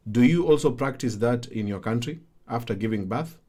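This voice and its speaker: A man's voice: medium pitch, expressive, fairly quick, warm tone. A man hosting a live talk show and talking with guests.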